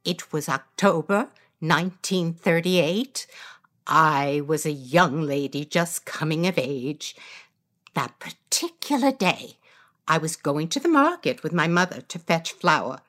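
A woman playing an elderly narrator who recalls her youth in measured, dramatic audio drama storytelling. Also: low voice, animated, a bit bright. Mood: nostalgic. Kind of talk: scripted first-person audio drama narration.

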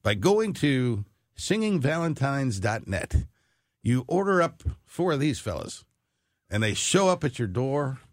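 A man's voice: medium pitch, big pitch swings, measured pace, no room sound, studio quality. A middle-aged American man hosting live radio with a showman's flair for announcing and storytelling. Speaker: radio show host. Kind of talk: live radio banter and interview.

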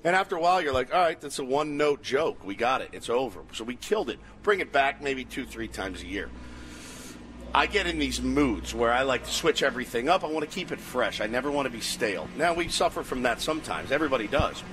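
A man hosts a morning sports radio show with a bright, forceful voice at a middle pitch. His delivery swings up and down in a lively way as he banters, jokes and exaggerates. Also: a little fast. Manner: excitable, joking, exaggerating.

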